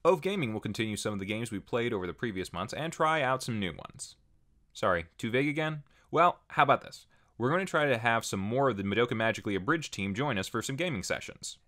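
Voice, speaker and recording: A man's voice: medium pitch, fairly bright tone. A man hosting a casual scripted channel update, talking informally to viewers with wry asides. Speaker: channel host and video creator.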